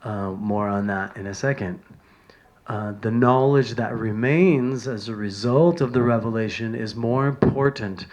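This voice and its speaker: A man from San Francisco giving a self-recorded talk from notes, earnest and halting, with many ums and uhs. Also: medium pitch, a little mellow. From San Francisco, California. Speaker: video lecturer on spiritual writings. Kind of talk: casual talk from bullet notes.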